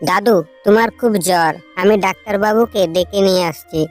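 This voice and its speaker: A woman's voice: medium pitch, fairly quick, soft background music, natural voice. A woman voicing a kind, anxious young village boy in a cartoon, earnest and pleading. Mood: worried, caring.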